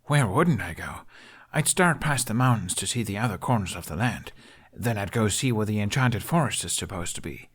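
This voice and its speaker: A man's voice: on the low side, animated, moderate pace, a bit bright, slightly rough. A man voicing an earnest, hopeful young prince from a fantasy story, speaking eagerly as he searches for words. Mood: curious.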